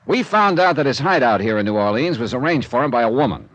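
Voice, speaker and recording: Casting a man as a wisecracking radio-drama investigator, mid-pitched and animated. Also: quick pace, natural voice, low-quality recording. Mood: brisk. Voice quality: dry, wisecracking manner. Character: wry, hard-driving insurance investigator. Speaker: insurance investigator and narrator. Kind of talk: 1950s radio drama acting.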